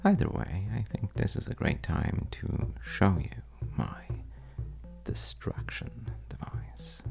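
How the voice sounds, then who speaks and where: A man playing a charming, shifty stranger at a bar in an intimate audio roleplay, telling wry, teasing tales in a hesitant, gravelly way. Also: huge pitch swings, slow pace, whispered, music in the background, heavily acted. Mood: coy, teasing. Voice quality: very deep, gravelly, breathy at times. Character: well-dressed, untrustworthy charming male stranger at a bar, bragging playfully.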